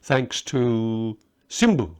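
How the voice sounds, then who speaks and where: A man's voice: mid-range voice, big pitch swings, extremely slow, clean tone. A man voicing a humble, self-doubting visionary magus in a story, earnest and hesitant. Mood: gentle, grateful.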